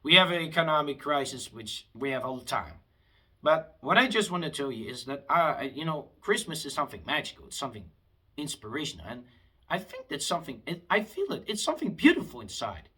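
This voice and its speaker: A man's voice: a little high, sing-song, fairly quick, bright, forceful tone, husky. Guy in his late 20s, rambling and heated, swoops up and down a lot. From Afghanistan. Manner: heated, rambling, with frequent restarts. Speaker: vlogger. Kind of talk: impassioned rambling monologue.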